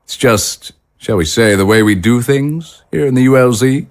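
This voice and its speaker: An actor playing a courtly, self-assured and smoothly authoritarian consul in an audio drama, speaking with formal, pompous politeness. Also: male voice, medium pitch, moderate pace, natural voice.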